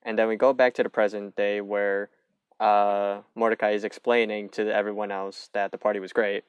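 A man's voice: fairly low pitch, flat delivery, normal speed, smooth, dry recording. A young man co-hosting a podcast, casually recapping a cartoon episode and swearing freely. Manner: loose, swears casually, narrates plot points. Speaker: podcast co-host.

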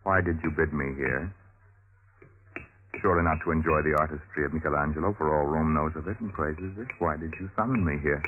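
An actor playing a proud, suspicious young Renaissance husband in a vintage radio drama, grave and stiffly formal. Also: male voice, rumbling low, fairly quick. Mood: proud, wary. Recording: narrow-band old radio recording.

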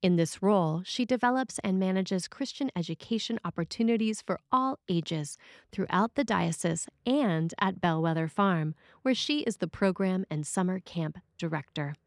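A woman's voice: lowish voice, normal speed, no room sound, clean studio sound. A woman reading a written sermon as a preacher, in a scripted style.

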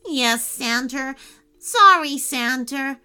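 A woman's voice: sits high, takes it slowly, pushed and bright, clean tone, faint music behind, heavily acted. A woman voicing a grumbling, sullen male elf loner in a children's story. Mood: sheepish, sorry. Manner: grumbling, bitter. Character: sour, grumbling male elf loner, bitter then sheepish.

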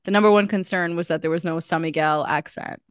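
A woman's voice: fairly low pitch, steady tone, brisk, clear, resonant tone, dry recording, clean studio sound. A young woman co-hosting a podcast, chatting casually with many fillers and restarts. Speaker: podcast co-host. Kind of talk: casual chat.

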